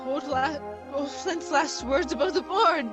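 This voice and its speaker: Woman as an excitable high-pitched pirate crewman. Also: a little slow, highs cut off, loud music behind the voice. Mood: spooked. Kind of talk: radio play acting.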